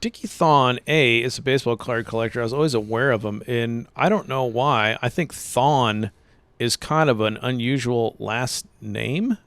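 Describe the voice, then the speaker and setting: A man chatting casually on a podcast, relaxed and a little rambling. His pitch sits in a middling range. The sound is dry and close. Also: normal speed, clean tone, no room sound. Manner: laid-back, rambling, says "dude" a lot. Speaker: podcast co-host. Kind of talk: casual chat about baseball.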